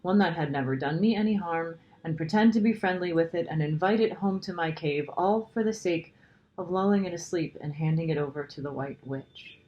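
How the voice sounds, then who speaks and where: A woman voicing a gentle, guilt-ridden male faun in a children's storybook, anguished and pleading in a dramatic way. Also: fairly low pitch, fairly quick, highs cut off, lightly acted. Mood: guilty, miserable. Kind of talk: children's storybook character reading.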